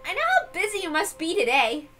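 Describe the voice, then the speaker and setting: A bubbly teenage girl from a rich family, played by a woman, in a story. She is kind and chatty, with a very high, bright voice that swoops about. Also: brisk, faint music behind, full character voice. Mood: flustered, apologetic. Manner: animated, chatty, gushing.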